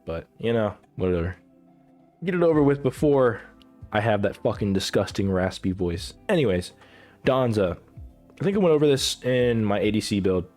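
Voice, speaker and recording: A man with a lowish voice talks through a casual, rambling commentary, cursing freely and stumbling through restarts and fillers. He is coming down with a cold and coughs now and then. Soft music plays faintly behind him. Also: lively intonation, normal speed. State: getting sick, coughing. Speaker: gaming content creator ranking characters.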